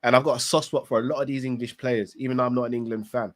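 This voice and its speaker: A man with a congested, bunged-up voice from a cold, hosting a casual football recap. He talks emphatically and pushes his opinions hard, at an ordinary mid pitch for a man. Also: fairly even intonation, racing. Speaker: football channel host.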